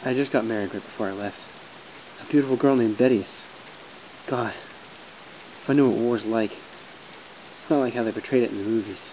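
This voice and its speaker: A man's voice: medium pitch, normal speed, long pauses, lightly acted. A man playing an earnest, emotional young army medic, shaken and idealistic, in an audio drama. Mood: disillusioned. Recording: dull sound with faint rain hiss.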